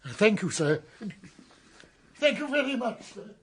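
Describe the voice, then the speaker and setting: High, nervous British manservant. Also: male voice, sing-song, a little slow, slight background noise, heavily acted. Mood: grateful. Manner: servile, stammering, formal. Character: nervous, deferential manservant, anxious and obsequious. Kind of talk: British radio drama acting.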